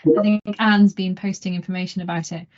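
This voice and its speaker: A woman's voice: lowish voice, steady tone, fairly quick, a little mellow. A woman chairing an online seminar who talks in a chatty, rambling way and makes light of herself. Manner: chatty, rambling, self-deprecating. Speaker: webinar chair. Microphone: video call. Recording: muffled video-call audio.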